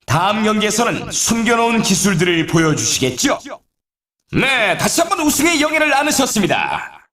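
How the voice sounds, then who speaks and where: An actor playing an excitable, teasing male snowboard race announcer in a video game, with polite, formal phrasing and playful sarcasm. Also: sits high, normal speed, echoey room, recorded from a distance, exaggerated voice. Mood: encouraging, then excited, celebratory. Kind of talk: scripted game sports commentary.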